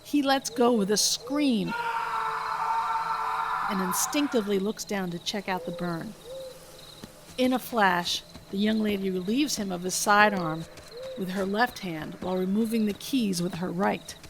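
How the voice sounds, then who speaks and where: A woman's voice: mid-range voice, unhurried, background noise. A woman podcast host reading her own script aloud, stage directions and all. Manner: reads stage directions steadily. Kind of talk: script read-aloud narration.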